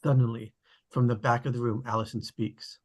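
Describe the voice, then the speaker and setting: Husky male stage-direction narrator. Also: mid-range voice, flat delivery, brisk. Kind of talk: scripted narration at a table read.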